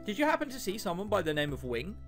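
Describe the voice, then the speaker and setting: A man's voice: sits high, big pitch swings, quick pace, soft background music. A man voicing an earnest, puzzled young amnesiac passenger in a visual novel, questioning and hesitant. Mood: earnest, searching. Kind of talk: visual novel dialogue read aloud.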